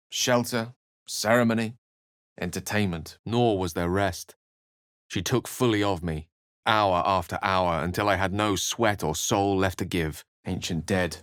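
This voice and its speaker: A man's voice: on the low side, no echo, studio quality, lightly acted. A man playing a refined, erudite wizard in a fantasy video game, speaking in an articulate, theatrical storyteller's manner. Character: refined, erudite male human wizard, eloquent and wry.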